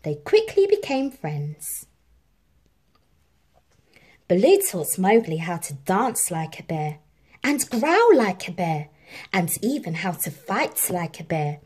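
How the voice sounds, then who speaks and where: A woman reads a children's story aloud in an expressive, scripted style. Her pitch moves in lively swings, her pace is slow and measured, and her voice has a husky edge. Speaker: storybook narrator.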